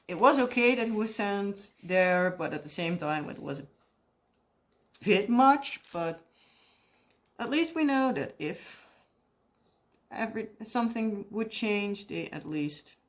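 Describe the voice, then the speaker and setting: A young woman with a Dutch accent chatting casually in a rambling way, often searching for English words and laughing. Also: medium pitch. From Netherlands. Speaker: craft vlogger. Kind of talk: casual chat while crafting.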